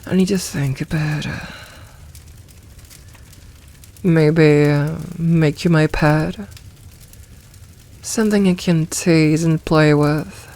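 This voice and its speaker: Woman doing a bossy demoness asmr roleplay, really slow and deep, soft intimate teasing, hungry and possessive. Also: fairly even intonation. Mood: musing, possessive. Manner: teasing, commanding, deliberate with drawn-out pauses.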